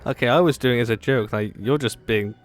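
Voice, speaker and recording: A woman's voice: very deep voice, brisk. A woman giving casual let's-play commentary with playful, sarcastic asides. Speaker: gaming video host.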